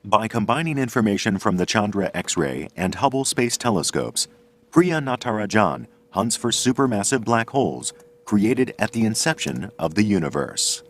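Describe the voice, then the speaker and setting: A man narrating a scripted science documentary in a measured, explanatory way. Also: fairly low pitch, sing-song.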